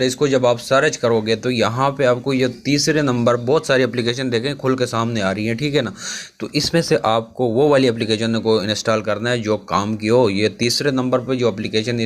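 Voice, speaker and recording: A man presenting a casual app tutorial as a YouTube host, chatting to viewers as friends. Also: mid-range voice.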